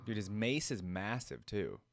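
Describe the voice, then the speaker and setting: A man's voice: on the low side, measured pace, vocal fry, no echo, studio quality. A young man hosting a reaction video, musing aloud in informal, casual commentary.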